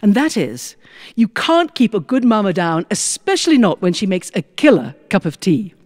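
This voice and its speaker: British woman, middle-aged, live storytelling, really swoopy expressive pitch, dramatic and deliberate. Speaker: storyteller, former prison chaplain. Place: recording studio with small audience.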